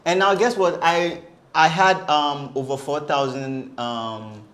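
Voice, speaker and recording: A man's voice: a little high, steady tone, slow pace, fairly bright tone. A man who creates travel content, telling stories casually as a guest in a panel chat.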